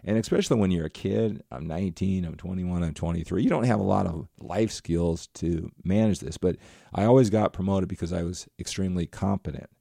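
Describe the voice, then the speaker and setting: A man's voice: low voice, brisk, vocal fry, warm tone, dry recording, studio quality. A middle-aged man talking straight to his viewers in a rambling, earnest motivational monologue. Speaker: motivational vlogger.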